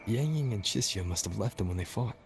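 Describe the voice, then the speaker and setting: Game hero: young man, cautious and terse, lowish pitch, music clearly behind. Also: expressive, fairly quick. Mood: serious, guarded. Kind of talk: video game voice acting. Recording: background music.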